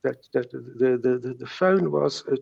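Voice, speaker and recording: A man's voice: medium pitch, measured pace, creaky, a little mellow, slightly rough, lo-fi sound. A middle-aged man with a South African Afrikaans accent, a native Afrikaans speaker, giving a personal account in an interview, stuttering and repeating words and sounds. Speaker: guest recounting recovery from stuttering.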